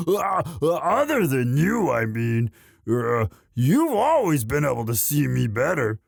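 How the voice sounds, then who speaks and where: A man playing a young orc, a gentle himbo sweetheart who talks in a halting, stammering, bashful and earnest way in a romantic roleplay audio. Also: mid-range voice, sing-song, vocal fry, dry recording, clean studio sound, exaggerated voice. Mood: tender, earnest.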